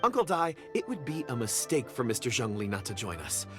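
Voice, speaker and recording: A smooth, flattering gem merchant. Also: male voice, medium pitch, a little fast. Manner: courteous, flattering. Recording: game audio with clear background music. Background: game music.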